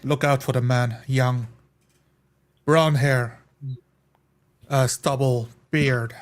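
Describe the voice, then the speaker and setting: A man playing a tabletop roleplaying game, describing his actions in a halting, deliberate way. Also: medium pitch, steady tone. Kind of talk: improvised roleplay narration.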